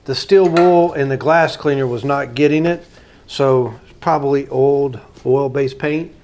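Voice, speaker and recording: A man's voice: medium pitch, measured pace, darkish tone. A man giving casual, plain-spoken commentary as a hobbyist restorer, thinking aloud while he works on a hands-on repair.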